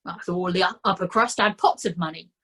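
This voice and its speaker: A woman voicing a kindly, slow-witted male sergeant who fusses and cracks jokes. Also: lowish voice, fairly bright tone. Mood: fussing.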